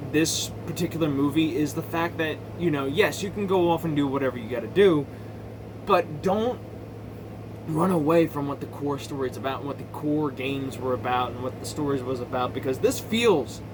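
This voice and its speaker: Higher-voiced man rambling, noisy recording. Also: moderate pace. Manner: opinionated, sweary, emphatic. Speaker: amateur movie reviewer. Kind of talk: casual rambling movie commentary.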